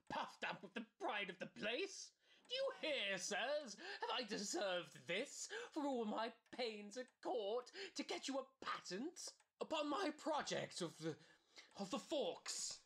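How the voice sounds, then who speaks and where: An amateur actor playing an indignant, wheedling schemer in an early modern comedy audio drama, talking fast and stammering persuasively. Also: medium pitch, big pitch swings, a bit bright. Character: wheedling, indignant schemer pitching absurd projects.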